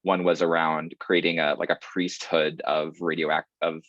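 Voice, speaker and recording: Very deep-voiced man, enthusiastic panelist. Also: little pitch movement, unhurried, a bit bright, no echo. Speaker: panelist, senior associate. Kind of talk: casual panel talk. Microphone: video call. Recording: dull dry video call audio.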